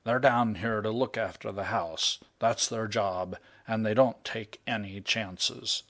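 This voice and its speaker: Guy doing a shy blunt burglar type, plain talk, slow and low. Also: fairly bright tone, exaggerated voice. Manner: blunt, matter-of-fact, common speech.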